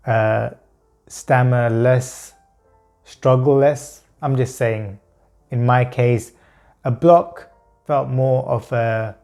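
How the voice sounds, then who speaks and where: Man, stutter, blocks and repeated words, very slow halting talk, faint music behind. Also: mid-range voice, dark tone. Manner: slow, halting delivery with long pauses. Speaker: vlogger talking about his stutter. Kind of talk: personal talk to camera.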